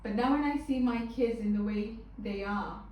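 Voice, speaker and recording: Woman from Tanzania, loud and expressive, group facilitator, echoey room, heard from afar. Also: on the high side, moderate pace, slight background noise. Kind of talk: group discussion. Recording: echoey room, distant mic.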